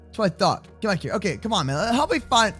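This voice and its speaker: A young adult man improvising kids' Minecraft roleplay commentary in an excitable, slangy way, often repeating his words. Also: high voice, quick pace, breathy edge, soft background music. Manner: slangy, addresses partner as bro and man. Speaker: gaming video host and roleplayer.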